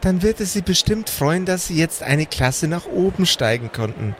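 A man's voice: high voice, very animated, normal speed. A man narrating an improvised tabletop role-playing game as its game master, with casual banter. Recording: clear background noise.

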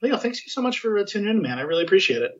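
A man's voice: high voice, very animated, very fast. A man hosting a podcast, chatting casually and rambling with lots of fillers and restarts. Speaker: podcast host. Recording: muffled lo-fi sound.

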